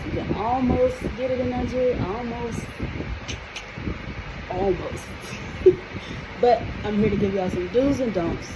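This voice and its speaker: American woman, casual vlog advice, chatty and emphatic, air conditioner hum in the room. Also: fairly low pitch. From United States. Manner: chatty, emphatic, often checks in with "okay?". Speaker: vlogger.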